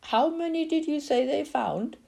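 A woman voicing a quiet, grieving young boy who asks anxious questions and calls out desperately. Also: sits high, expressive, moderate pace, creaky, voice pushed up. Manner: anxious, pleading.

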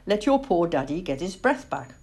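A woman's voice: on the low side, very animated, moderate pace, darkish tone. Woman playing a dry, patient mother owl, lilting. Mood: wry.

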